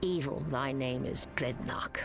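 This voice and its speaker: A middle-aged woman playing a commanding, supportive hologram captain who mentors cadets in an animated game. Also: extremely low pitch, measured pace, music in the background. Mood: grave.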